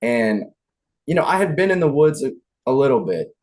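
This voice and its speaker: A man's voice: mid-range voice, big pitch swings, normal speed, darkish tone. A man who is a podcast guest, chatting casually and poking fun at himself. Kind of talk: casual chat.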